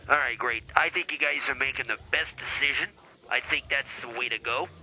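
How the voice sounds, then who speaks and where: A man's voice: mid-range voice, fairly even intonation, a little fast, bright, forceful tone, slight background noise, low-quality recording. A man playing a brash, boozy, foul-mouthed divorce lawyer in an improvised comedy podcast sketch, pompous and blustering. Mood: brash, crude.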